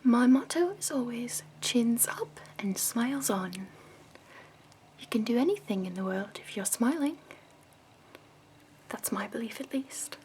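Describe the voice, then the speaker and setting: A woman in her twenties voicing a prim, fussy, upbeat woman makeup escort from the capital, in a soft whispered ASMR roleplay. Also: fairly high pitch, animated, unhurried, long pauses, slight background noise. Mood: prim, soothing.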